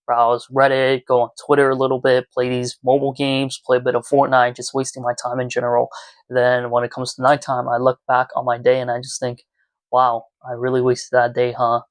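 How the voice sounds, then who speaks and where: A man's voice: medium pitch, dry recording. A twenty-year-old man talking earnestly and reflectively to viewers in a casual, confessional vlog recorded in his room at home. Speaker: YouTuber. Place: small room at home, indoors.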